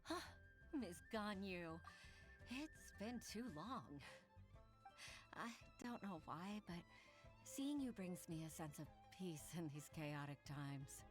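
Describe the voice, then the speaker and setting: An actress voicing an earnest, somewhat weary young clerk in a video game. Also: female voice, lowish voice, expressive, slow, faint music behind. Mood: troubled.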